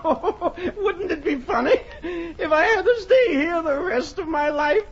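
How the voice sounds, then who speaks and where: An actor playing a proud, sickly, gullible wine connoisseur, theatrical and tipsy, in an old-time radio horror drama. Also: male voice, very high voice, animated, unhurried, full character voice. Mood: drunken giddiness. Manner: theatrical, hesitant, giddy. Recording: muffled old radio recording.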